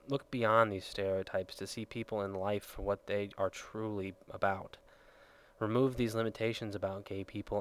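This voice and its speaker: Male reader for a first-person testimony, voice on the low side. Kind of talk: reading a personal testimony aloud.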